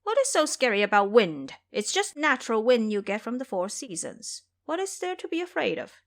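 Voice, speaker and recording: A woman voicing a bold, cocky male monkey hero with a brisk, teasing manner in an audio drama. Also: fairly high pitch, sing-song. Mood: scornful, teasing.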